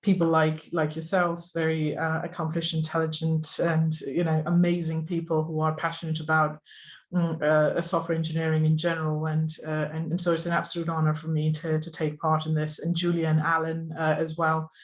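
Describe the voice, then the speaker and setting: A speaker from Pakistan gives an online talk about software teams over a video call, in an earnest, halting way with frequent ums and restarts. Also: medium pitch, completely flat delivery. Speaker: software engineer giving a conference talk. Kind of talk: online presentation.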